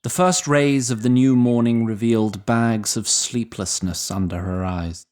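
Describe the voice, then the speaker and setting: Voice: medium pitch, steady tone, unhurried, darkish tone, natural voice. A performer serving as the composed third-person narrator of a scripted fantasy audio drama.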